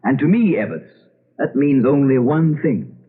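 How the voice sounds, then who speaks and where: An actor playing an inquisitive, determined gentleman investigating a haunted house, theatrical and excitable, with stammered repetitions, in old-time radio drama style. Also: mid-range voice, moderate pace, slightly rough. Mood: brooding, determined. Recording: dull old radio broadcast with loud music.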